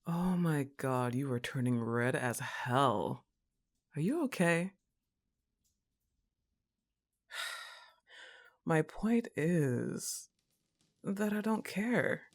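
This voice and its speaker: Woman playing goth roommate, young, low-pitched, unhurried delivery, laid-back, teasing, mildly annoyed, casual ASMR roleplay. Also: animated, exaggerated voice. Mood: amused, teasing. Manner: teasing, coaxing, casual, with sighs.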